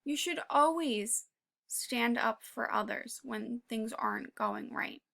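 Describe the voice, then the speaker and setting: A woman reading a children's picture book aloud, pausing to talk to young listeners in an encouraging way and sometimes dropping to a whisper. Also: a little high, wildly swooping pitch, vocal fry. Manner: drops to a whisper at quiet moments. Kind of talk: read-aloud with commentary for kids.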